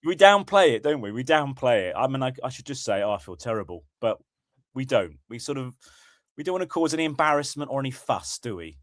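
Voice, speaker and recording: British bloke with a bit of a cold, kinda croaky, relaxed chat, mid pitch, dry close mic. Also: male voice, fast, no room sound. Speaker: podcast co-host. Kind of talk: casual chat.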